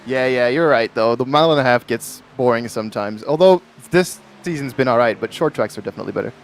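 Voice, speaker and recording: A man's voice: mid-range voice, fast. Easygoing man from Belgium, faint background noise. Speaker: sim racing driver, race winner being interviewed. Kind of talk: casual post-race interview chat.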